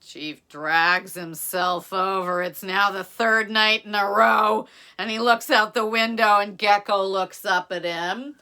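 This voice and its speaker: A woman with an American accent telling a folktale expressively, with dramatic pauses. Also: medium pitch, fairly even intonation, pushed and bright, clear, resonant tone. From United States. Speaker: musical storyteller.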